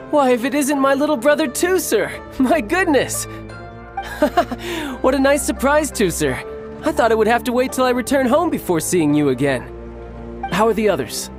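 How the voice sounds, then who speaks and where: A man voicing a cocky, playful young warrior and doting big brother in a video game, smooth-talking and theatrical. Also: extremely high pitch. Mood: surprised delight. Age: young adult. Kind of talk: video game character acting. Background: music.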